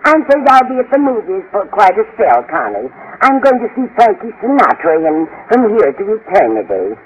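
A woman's voice: mid-range voice, big pitch swings, brisk, lightly acted. An actress playing a sweet, flustered older lady in a fluttery, genteel manner in a 1950s radio sitcom. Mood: scatterbrained, sweet. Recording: noisy muffled old radio recording.

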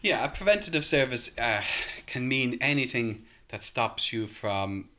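A man in his thirties with a soft Irish accent, a podcast guest talking casually with lots of ums and restarts. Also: mid-range voice, animated, measured pace. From Ireland. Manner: hesitant, many ums and restarts.

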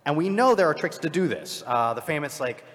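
A man speaking as a panelist in a live discussion, in an analytical, halting way with film-studies vocabulary. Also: a little high, expressive, fairly bright tone. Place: large convention panel room with microphones. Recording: very reverberant hall, live panel.

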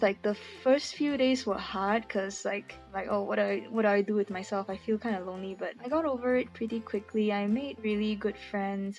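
A woman's voice: medium pitch, a little mellow, poor audio. A young woman from Malaysia with a Malaysian accent, answering interview questions in a candid, chatty way full of fillers and restarts.

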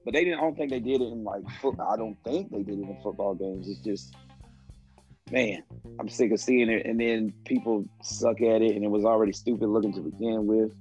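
A man's voice: on the low side, fairly even intonation, brisk, darkish tone. A man in his late twenties chatting on a sports podcast, slangy and mock-grumpy like an old head. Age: late 20s. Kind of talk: casual chat. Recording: slightly dull audio, faint music underneath.

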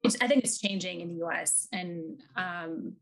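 A woman with a Ukrainian accent speaks as a lawyer guest in an interview. Her voice sits a little low, and she talks in a thoughtful way with plenty of ums. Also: moderate pace, creaky, rough recording. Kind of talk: conversational interview.

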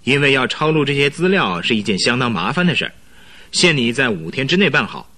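Scheming company boss, radio drama, forceful, husky, patronizing, animated intonation. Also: male voice, mid-range voice, fairly quick. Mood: commanding, smooth. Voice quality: husky, robust. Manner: patronizing, persuasive, chuckling. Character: hearty, scheming company director, loud and full of energy. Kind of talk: Mandarin radio drama acting.

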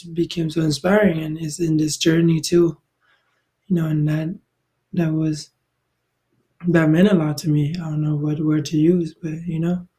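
A man's voice: on the high side, warm tone, low-quality recording. A young man from Thailand speaking as a podcast guest. He talks in a rambling, laid-back way, with many fillers and restarts. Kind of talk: casual conversational interview.

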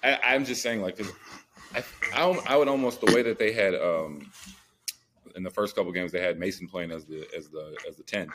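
Really deep voiced guy talking fast on a sports podcast, slangy and opinionated, bit of background noise. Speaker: podcast co-host. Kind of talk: casual sports debate.

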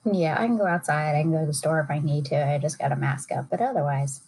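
A woman's voice: low-pitched, fast, a little mellow, a little hiss or hum, low-quality recording. A woman chatting casually as a guest, a little hesitant as she thinks aloud. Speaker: podcast guest, a parent. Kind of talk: casual chat.

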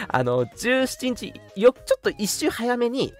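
A man's voice: very high-pitched, wildly swooping pitch, measured pace. A young man co-hosting a live radio talk show, mixing casual, jokey banter with polite announcements. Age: young adult. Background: background music.

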